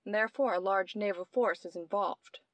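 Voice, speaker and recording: A woman's voice: medium pitch, brisk, no echo, lo-fi sound, natural voice. An actress playing a brilliant young woman detective, explaining her deductions precisely in old-time radio mystery style. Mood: intent, explanatory.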